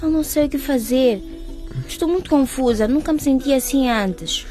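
A woman's voice: sits high, normal speed, mellow, faint music behind, lightly acted. An actress playing a shy, frightened young woman in a radio drama, speaking hesitantly. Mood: anxious. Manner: timid, hesitant.

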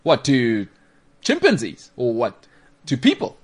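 A man with a South African accent hosting a talk show, giving opinions in casual, slangy, animated banter. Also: medium pitch, unhurried. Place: studio.

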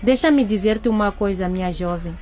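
A woman's voice: mid-range voice, normal speed, darkish tone, a little hiss or hum, natural voice. A woman playing a middle-aged grieving mother in a radio drama, frank, emphatic and earnest. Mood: earnest, advising. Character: grieving mother, blunt, guilt-ridden, advising a younger woman.

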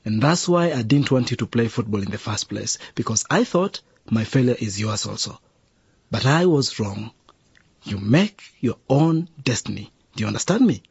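A man's voice: medium pitch, lively intonation, normal speed, a little mellow, dry recording, exaggerated voice. An actor playing a widowed police officer and caring father who talks earnestly and sighs. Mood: fatherly. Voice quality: husky. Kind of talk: radio drama acting. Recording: dull dry radio drama recording.

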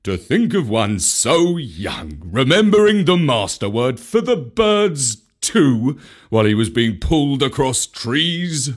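A man's voice: medium pitch, big pitch swings, slow pace. A man voicing a big male bear who speaks earnestly and fondly about his pupil. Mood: proud. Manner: warm-hearted, earnest. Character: big, fond male bear, anxious teacher.

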